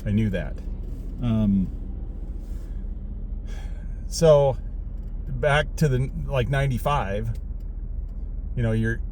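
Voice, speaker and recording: A middle-aged American man talks casually and haltingly, often trailing off and rephrasing as he goes. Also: lowish voice. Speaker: vlogger, former Marine radio operator. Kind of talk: casual rambling monologue to viewers. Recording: loud vehicle and outdoor background noise.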